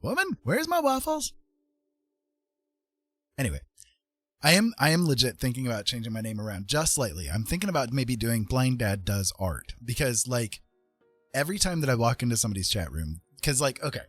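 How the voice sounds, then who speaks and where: Animated man rambling with a slightly husky edge. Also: mid-range voice, fairly quick, no room sound, faint music behind. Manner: rambling, playful, self-mocking. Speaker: livestreamer. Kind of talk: casual livestream chat.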